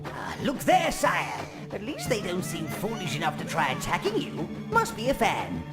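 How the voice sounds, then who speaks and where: A raspy old goblin servant from a video game, with a pitch that swoops wildly. He is theatrical, wicked and fawning toward his master. Music plays loudly behind him. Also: huge pitch swings, normal speed. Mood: advising. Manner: theatrical, obsequious, exclaiming. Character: gleefully wicked, fawning old male goblin minion advisor. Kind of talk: video game character acting. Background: loud music.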